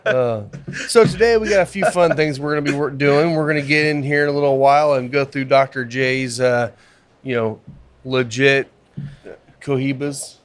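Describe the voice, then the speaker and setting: A man's voice: medium pitch, steady tone, moderate pace. A man co-hosting a casual podcast from a cigar lounge, laid-back and joking. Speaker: podcast co-host. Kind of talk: casual chat.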